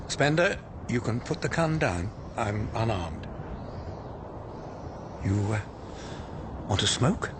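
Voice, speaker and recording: A man's voice: medium pitch, big pitch swings, takes it slowly, long pauses, background noise. An actor playing a principled, conciliatory space expedition captain in a radio drama, earnest and persuasive as he pleads. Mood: wary, coaxing.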